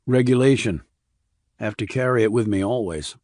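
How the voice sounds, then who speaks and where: A man playing a stoic, terse, low-key male army investigator in an audiobook. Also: normal speed, a little mellow, dry recording, clean studio sound, lightly acted.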